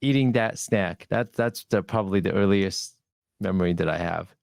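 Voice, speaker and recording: A man from Taiwan speaks on a casual expert panel in a lowish voice. He keeps it modest with dry humor, recorded close and dry. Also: moderate pace, gravelly, a little mellow, dry recording. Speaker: urology panelist.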